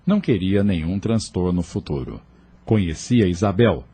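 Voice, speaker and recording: A male narrator reading an audio drama. His voice is low and mellow with a husky grain. He tells the story in a measured, steady way. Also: dry recording.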